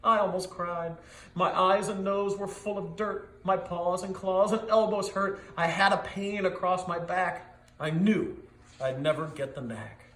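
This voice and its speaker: A man voicing a big, eager, clumsy dog telling his own story in an expressive, rhythmic storybook read-aloud with a roomy echo. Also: high voice, measured pace. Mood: frustrated. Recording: echoey, roomy sound.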